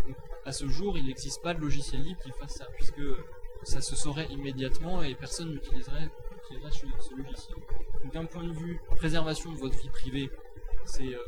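A man giving a semi-spontaneous explanatory talk, didactic and methodical, often halting and repeating words as he goes. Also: a little high, poor audio. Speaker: free software advocate.

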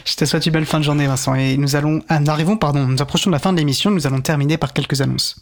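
A man's voice: fairly high pitch, fairly even intonation, racing. A man hosting a radio show and reading out announcements.

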